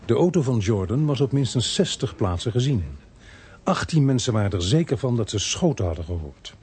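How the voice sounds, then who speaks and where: A man's voice: mid-range voice, big pitch swings, brisk, a little mellow, lightly acted. A man playing the sober narrator of a detective radio drama, speaking in terse, matter-of-fact scripted sentences. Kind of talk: scripted first-person narration.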